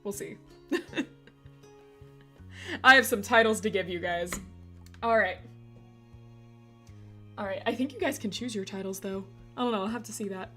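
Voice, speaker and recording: A woman streamer with a slightly hoarse voice, chatting casually and giggling as she talks to her chat. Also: medium pitch, moderate pace, long pauses, bright, forceful tone, soft background music.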